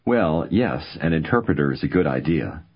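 A man narrating a language course, giving calm, measured prompts in a scripted instructional style. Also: a bass voice, breathy edge. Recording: dull lo-fi recording.

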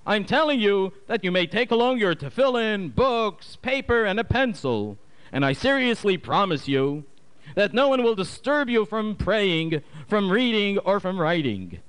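A smooth-talking official coaxes and promises in a raised, lively voice, as a storyteller puts him on. His words sound reassuring and polished. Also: high-pitched, unhurried, voice pushed up, heavily acted, rough recording. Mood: coaxing. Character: smooth-talking male secret-police official.

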